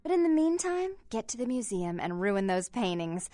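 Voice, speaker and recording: Teasing, sing-song vampire schemer played by an actress. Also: female voice, medium pitch, sing-song, moderate pace, mellow, dry recording, lightly acted. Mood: bossy, playful.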